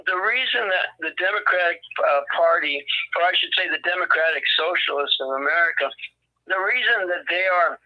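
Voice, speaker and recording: A man with a fairly high voice talks on a call-in show, joking lightly and then explaining his views at length. His voice comes through a muffled, lo-fi phone line. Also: a little fast. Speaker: co-host. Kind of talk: casual phone-in chat.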